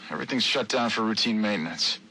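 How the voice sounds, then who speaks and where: A man's voice: lowish voice, lively intonation, fast, sharp tone, breathy edge, full character voice. A man voicing an easygoing but wary police desk worker in a video game audio log, casual and street-wise. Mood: casual, curious.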